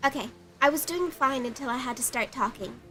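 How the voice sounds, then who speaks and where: A woman's voice: high-pitched, a little fast, pushed and bright. A young woman with a stuffed-up, sniffly nose, chatting giggly and apologetic while streaming her art. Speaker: art livestreamer. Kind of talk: casual live-stream chat. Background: faint background music.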